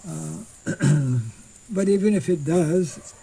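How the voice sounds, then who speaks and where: An older monk giving an informal scripture class outdoors into a stand mic, speaking slowly and haltingly and clearing his throat often. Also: on the high side, dark tone, slight background noise, low-quality recording. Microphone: stand mic in front.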